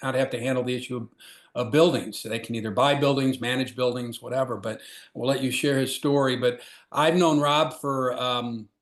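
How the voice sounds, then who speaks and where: Brief: male host and former pastor introducing a guest on a video call, informal and halting, echoey room. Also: medium pitch, steady tone. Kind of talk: introducing a speaker. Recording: roomy echo.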